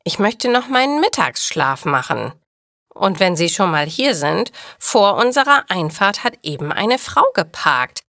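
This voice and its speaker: A woman's voice: fairly low pitch, big pitch swings, a little slow, bright, forceful tone, no echo, clean studio sound, exaggerated voice. Woman playing an indignant, fussy older lady. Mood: urgent complaining. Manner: scolding, self-righteous. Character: indignant, fussy older female neighbour complaining.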